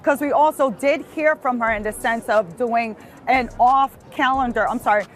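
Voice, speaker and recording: A woman's voice: on the high side, normal speed. A woman giving spoken legal analysis in a news interview.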